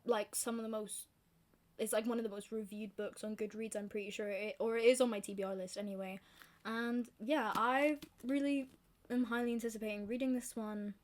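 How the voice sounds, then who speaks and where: A young woman from Scotland with a softened Glaswegian accent, chatting casually and rambling through a book vlog. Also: mid-range voice. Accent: softened Glaswegian Scottish. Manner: chatty, rambling, self-deprecating, many fillers. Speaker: book vlogger. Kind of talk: casual chat to camera.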